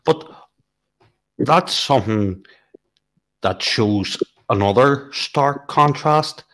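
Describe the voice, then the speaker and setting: A man in a casual political discussion who raises points in a measured, questioning way and builds them slowly with hesitant fillers. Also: mid-range voice, expressive, fairly bright tone. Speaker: political podcast co-host.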